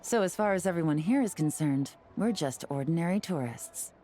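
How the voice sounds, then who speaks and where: An actress playing a composed, teasing young woman investigator in a video game, speaking in a measured, wry way with music behind her. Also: lowish voice, lively intonation, mellow, lightly acted.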